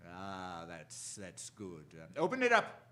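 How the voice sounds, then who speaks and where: An actor playing a commanding, menacing crime boss, imperious and deliberate in a theatrical radio drama style. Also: male voice, sits low, huge pitch swings, sharp tone, full character voice.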